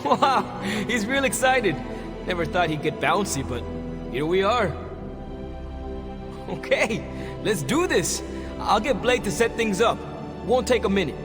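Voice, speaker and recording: Casting: cocky young game hero, high-pitched and lively, casual slang, reverberant hall with music behind. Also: male voice, unhurried, pauses often. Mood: excited. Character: cocky, excitable young man bonded with a vengeance spirit. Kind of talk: video game cutscene acting.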